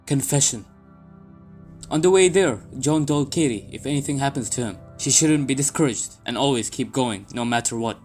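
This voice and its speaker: A man's voice: mid-range voice, normal speed, a little mellow. A man reading a scripted story as its narrator. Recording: background music under the voice. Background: music bed.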